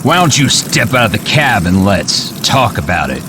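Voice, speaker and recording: An actor playing a hostile, coarse roadblock thug with blunt, threatening delivery. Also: male voice, lowish voice, sing-song, moderate pace. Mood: menacing. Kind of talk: gritty audio drama dialogue. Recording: very noisy roadside with engine.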